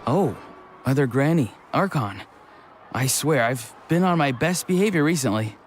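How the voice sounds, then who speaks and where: A man's voice: mid-range voice, animated, moderate pace, background noise, lightly acted. A man voicing an earnest, restless young villager with a casual, frank manner in an anime-style video game. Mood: nervous. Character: restless, earnest young male villager, mild reformed troublemaker. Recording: faint background ambience.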